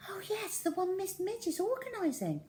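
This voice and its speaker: A woman's voice: very high voice, wildly swooping pitch, normal speed, a little mellow, pitched above the performer's own voice, exaggerated voice. A woman voicing a plucky, kind little schoolgirl in a children's storybook, earnest and confiding in manner. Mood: curious, keen.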